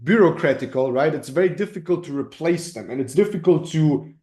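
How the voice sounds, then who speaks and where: A man's voice: on the high side, lively intonation, brisk, mellow. A man with a German accent coaching informally on a group call, explaining emphatically and repeating himself with frequent check-ins. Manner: emphatic, repetitive, checks in with "right?" and "okay?". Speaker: crypto trading coach hosting a community call. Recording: roomy, echoing sound.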